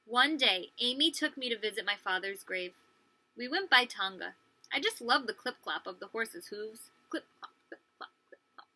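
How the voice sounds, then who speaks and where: A woman preschool teacher reading a story aloud to young children, expressive and pausing to ask them questions. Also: on the high side, poor audio. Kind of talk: animated children's read-aloud with questions to listeners.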